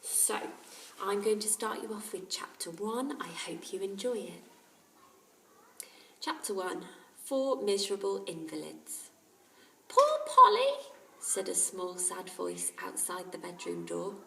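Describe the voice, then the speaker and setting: A woman's voice: fairly low pitch, measured pace, vocal fry. A woman teacher narrating a children's story aloud. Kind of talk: children's audiobook-style narration. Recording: roomy, distant microphone.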